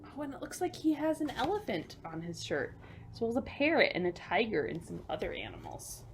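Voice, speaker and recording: A woman hosting a children's storytime, reading picture books aloud with emphatic delivery and hushed asides. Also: on the high side, huge pitch swings, brisk, gravelly, a little hiss or hum. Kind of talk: picture book read-aloud for young children.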